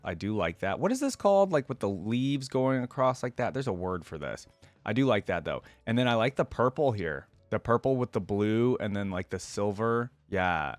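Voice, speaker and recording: Low-voiced man giving casual reaction commentary. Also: no room sound. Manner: informal, slangy, enthusiastic approval. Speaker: reaction video host.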